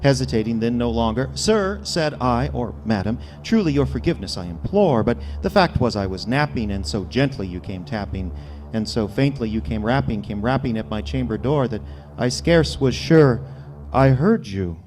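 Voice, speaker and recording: A man giving a theatrical, suspenseful poetry recitation with deliberate pauses, recorded live on stage. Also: medium pitch, expressive, a little mellow, slight background noise, low-quality recording. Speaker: performer reading poetry.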